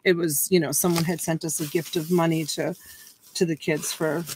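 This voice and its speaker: A woman's voice: low voice, flat delivery, normal speed, a little mellow, a little hiss or hum, poor audio. A woman in her forties hosting a casual live-stream auction from her home, talking in a chatty, folksy way and drawing out the calls for bids. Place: home room full of plush toys and a dress form. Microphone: webcam on a computer.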